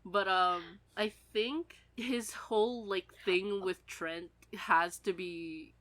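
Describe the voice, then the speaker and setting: A woman co-hosting a podcast in a casual fan chat, animated and giggly, swearing freely. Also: medium pitch, takes it slowly, dry recording. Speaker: podcast co-host.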